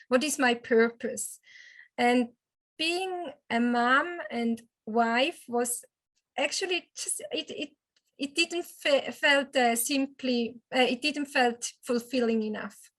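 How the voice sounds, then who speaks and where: A woman with a Swiss German accent and a slightly high voice tells her story as a health and wellness coach. She is earnest and halting, often restarting her sentences. Swiss German is her first language. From Switzerland. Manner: earnest, with frequent restarts and hesitations. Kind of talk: personal testimonial.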